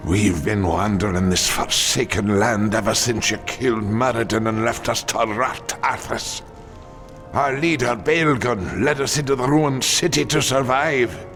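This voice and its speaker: A man's voice: mid-range voice, measured pace, a bit bright, soft background music. An actor voicing a bitter, defiant male dwarf survivor who taunts his foes.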